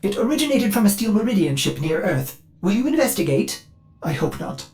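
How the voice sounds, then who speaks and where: A woman's voice: medium pitch, very animated, a little fast, creaky, dark tone, faint music behind. A woman playing an eager, servile and fretful ship computer in a video game, fussy and keen to please. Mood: worried. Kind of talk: scripted video game voice acting.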